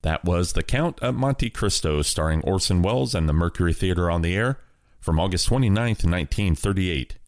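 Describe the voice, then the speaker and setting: A man hosting a radio show, introducing and wrapping up segments in a relaxed, plain manner. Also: low-pitched, normal speed, no echo. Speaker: host. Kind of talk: radio host segue.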